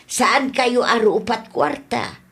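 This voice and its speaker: A woman playing a chatty, good-natured village wife and mother in a comedy radio drama, talking in an unhurried, conversational way. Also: a little high. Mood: chatty, easygoing.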